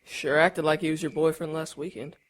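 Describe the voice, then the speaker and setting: A woman's voice: low-pitched, little pitch movement, fairly quick, a little hiss or hum, natural voice. A woman playing a nervous, pleading younger brother, stammering and defensive, in an audio drama.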